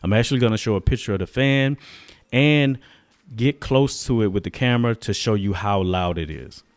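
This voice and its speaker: A man's voice: on the low side, normal speed, no room sound. A man vlogging a casual product test, talking colloquially and straight to his viewers. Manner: colloquial, addresses viewers directly. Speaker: vlogger, gadget tester.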